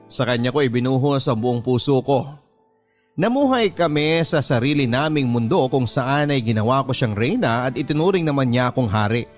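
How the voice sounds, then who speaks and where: A man's voice: medium pitch, lively intonation. Filipino man narrating over prominent music. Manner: measured, sentimental storytelling. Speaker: radio drama narrator reading a letter-sender's story. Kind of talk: first-person scripted dramatic narration. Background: music bed.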